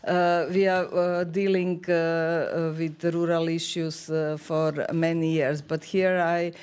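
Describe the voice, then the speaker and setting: Clear, even-toned woman with Croatian accent. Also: deep voice. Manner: very halting, hesitant phrasing. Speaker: panel speaker for a European committee. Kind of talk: unscripted panel presentation.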